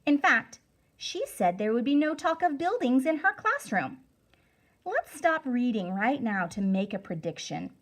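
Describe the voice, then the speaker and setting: Slow, very expressive woman reading aloud. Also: sits high. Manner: deliberate, emphatic, instructive. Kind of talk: expressive storybook read-aloud for children.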